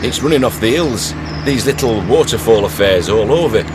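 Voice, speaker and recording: An actor playing a blunt, chatty, working-class country driver in a radio drama, speaking colloquially. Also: male voice, lowish voice, animated, normal speed, lightly acted. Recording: loud car engine and outdoor noise.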